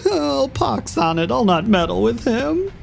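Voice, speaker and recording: Whining foolish knight, played by a man, creaky, animated, timid, Shakespearean audio play, faint background noise. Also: very high voice, a little slow, full character voice. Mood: nervous cowardice. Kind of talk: Shakespearean audio drama acting.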